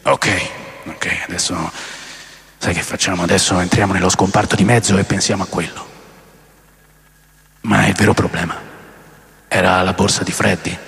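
Touring rocker, heavy vocal fry, coarse storytelling, dramatic pauses, radio play, long reverb. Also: male voice, sits low, fairly bright tone. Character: adult male rock musician recalling wild touring days. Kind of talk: radio drama monologue, first-person storytelling. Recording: long reverb, music under voice.

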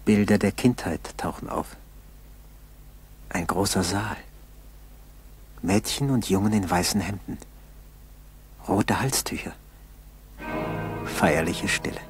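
An actor playing a solemn narrator who gives terse present-tense commentary in a 1960s German radio play. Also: male voice, low-pitched, takes it slowly, pauses often, breathy edge, soft background music, exaggerated voice. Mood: grave. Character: grave male narrator urgently observing a struggling man.

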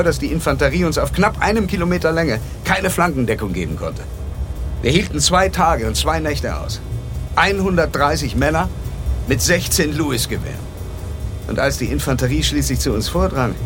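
An actor playing a wealthy, secretive, self-mythologizing gentleman in a radio drama, speaking with courteous, old-fashioned familiarity. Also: medium pitch, lightly acted. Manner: polished, boastful, addresses listener with old-fashioned familiarity. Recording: studio radio play with music underneath.

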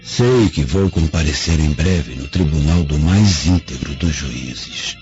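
A man's voice: a bass voice, expressive, slow, darkish tone, exaggerated voice. An actor with a Brazilian accent playing a proud, vengeful dying father confessing with remorse, in solemn, theatrical radio drama phrasing. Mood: remorseful, pleading. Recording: dull lo-fi radio drama, faint music behind.

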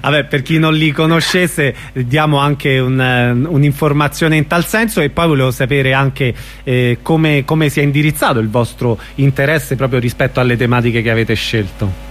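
A man's voice: medium pitch, steady tone, moderate pace. A man hosting a radio interview, asking questions in a friendly way. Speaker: radio host.

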